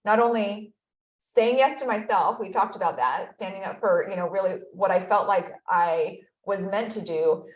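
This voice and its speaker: A woman in her thirties speaks as an interview guest, earnest and reflective, often slipping in "you know." Her voice sits at a middle pitch and carries a noticeable room echo. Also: normal speed, lo-fi sound. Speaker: guest, accountability expert. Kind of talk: conversational interview.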